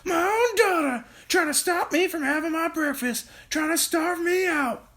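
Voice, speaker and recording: Shrill furious old grandmother. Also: a little slow. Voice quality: shrill, screeching. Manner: yelling, shouting. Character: horrid ancient giant grandmother, furious and greedy. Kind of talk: storybook read-aloud.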